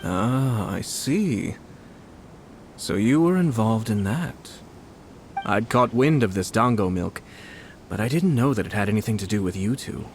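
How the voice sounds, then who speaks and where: A courteous noble commissioner. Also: male voice, fairly low pitch, animated, slight background noise. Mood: calm. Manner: polite, formal, measured. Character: young refined male noble commissioner, courteous. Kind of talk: game voice acting.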